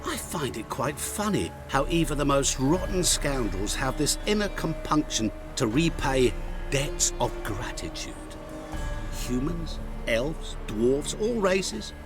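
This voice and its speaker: A man playing a sly, enigmatic traveling merchant in a video game cutscene, speaking in a theatrical, teasing, deliberately paced way. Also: medium pitch, very animated, over music. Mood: sly, amused. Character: sly, enigmatic male traveling merchant who makes dark pacts.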